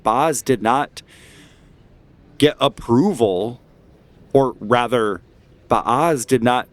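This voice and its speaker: A man voicing an earnest adventurer in a tabletop game, rallying a crowd with measured, persuasive oratory. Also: mid-range voice.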